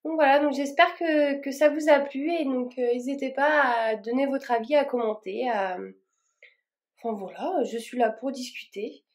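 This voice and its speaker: A woman's voice: sits high, fairly even intonation, normal speed. A woman vlogging casually as she repots her plants, talking in a rambling way with plenty of fillers and restarts. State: a bit warm and tired from the work.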